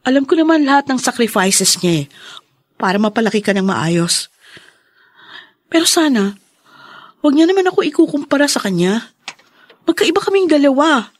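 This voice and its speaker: A woman's voice: high-pitched, big pitch swings, moderate pace, long pauses, no room sound, studio quality. An actress playing a hurt, frank young wife and new mother in a Filipino radio drama, with a Filipino accent. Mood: hurt, aggrieved. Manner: frank, aggrieved.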